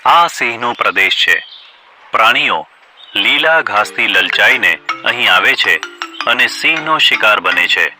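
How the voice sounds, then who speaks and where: A man's voice: fairly low pitch, expressive, a little slow. A man doing a sly scheming cartoon donkey. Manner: crafty, smooth-talking. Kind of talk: cartoon voice acting. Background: background music.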